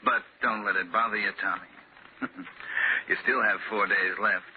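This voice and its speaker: An actor playing a suave gang boss in an old-time radio thriller, menacing through smooth, needling politeness. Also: male voice, lowish voice, steady tone, moderate pace, close to an everyday voice. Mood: mock-friendly menace. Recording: tinny, narrow, noisy old radio recording.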